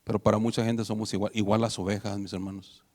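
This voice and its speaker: A man's voice: lowish voice, level tone, fast, a little mellow. A man preaching a conversational sermon to his congregation, asking them rhetorical questions. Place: church hall. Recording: reverberant church hall.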